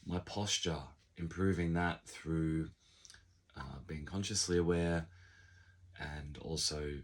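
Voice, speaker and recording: A man with a croaky, hoarse voice, still sick, talking over his year in a reflective, unhurried vlog with many ums. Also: extremely low pitch. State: hoarse, recovering from illness. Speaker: channel creator. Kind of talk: casual vlog monologue. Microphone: unfamiliar backup microphone.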